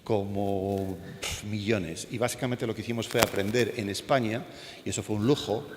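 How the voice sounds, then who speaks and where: Low-voiced Castilian man speaking in an echoey hall. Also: fairly even intonation, moderate pace. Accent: Castilian Spanish. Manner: conversational, puts questions to the audience. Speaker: animator, panel speaker. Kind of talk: informal talk to an audience, anecdotes. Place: school event hall with an audience. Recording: echoey hall, some background noise.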